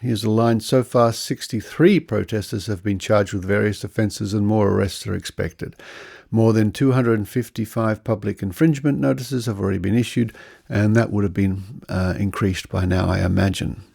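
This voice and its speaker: A man's voice: fairly low pitch, fairly even intonation, normal speed, creaky, a little mellow, husky. A man hosting a podcast, commenting on news and reading quotes with conversational asides. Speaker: podcast host.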